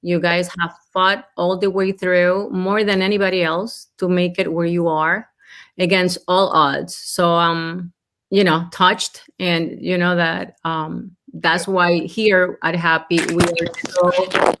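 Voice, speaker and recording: A woman's voice: lowish voice, level tone, slow pace, fairly bright tone. A woman from the Dominican Republic moderating a panel discussion as host, speaking in an encouraging, supportive manner.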